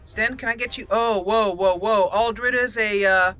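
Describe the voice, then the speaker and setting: A woman hosts a casual gaming playthrough in a bright, clear-edged voice with unhurried pacing. She thinks aloud and addresses the characters as she goes, with music behind her. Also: medium pitch, steady tone. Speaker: gaming channel host. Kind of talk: casual let's play commentary.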